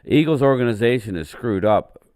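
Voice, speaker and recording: A man co-hosting a casual livestream chat, with a deep voice made raspy by a chest cold, talking haltingly with many fillers. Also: lively intonation, darkish tone, no room sound, clean studio sound. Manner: halting, frequent fillers and restarts. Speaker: co-host.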